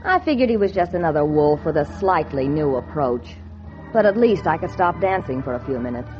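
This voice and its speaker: An old, dull radio recording with loud music behind a low female voice. a young American noir heroine, knowing and slangy, telling her story in wry 1940s radio style. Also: animated, a little fast. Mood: wry, sassy. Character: wisecracking young dance-hall woman posing as an heiress. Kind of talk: 1940s radio acting, noir first-person narration.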